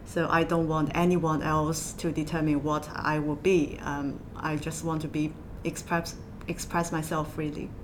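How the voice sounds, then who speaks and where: A woman from southwest China with a Chinese accent, answering interview questions in halting, hesitant phrases. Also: little pitch movement. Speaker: podcast guest, accountant. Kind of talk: interview conversation. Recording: low rumble and background noise.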